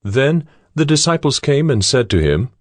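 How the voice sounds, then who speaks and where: A man's voice: mid-range voice, very animated, normal speed, no echo, clean studio sound. A man narrating scripture from a script as the storyteller of a dramatized audio Bible. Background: background music.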